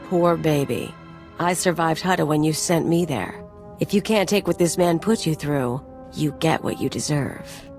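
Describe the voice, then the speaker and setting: A cynical woman mercenary from a video game, with a slightly low voice. She is flippant and offhand, always ready with a taunt. Faint music plays underneath. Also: unhurried, a little mellow. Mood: smug. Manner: flippant, offhand, taunting, clipped slangy phrasing. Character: cynical, streetwise woman mercenary, playful and vindictive. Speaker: companion character in a video game. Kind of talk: video game voice acting, casual dialogue.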